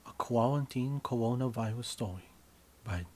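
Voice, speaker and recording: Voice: mid-range voice, normal speed, a little mellow. A narrator reading their own comic story in a mock-serious, theatrical, scripted style.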